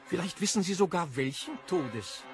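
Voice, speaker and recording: A man's voice: high voice, expressive, normal speed, music in the background. A man playing an educated, self-important literary chairman in an audiobook, polite, formal and incredulous. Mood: skeptical. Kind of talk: audiobook character dialogue.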